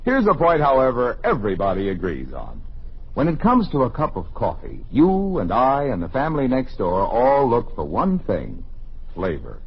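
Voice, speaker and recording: Animated, husky male vintage radio announcer. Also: medium pitch. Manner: emphatic salesman delivery. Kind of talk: 1950s radio commercial read, scripted. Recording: dull old radio recording.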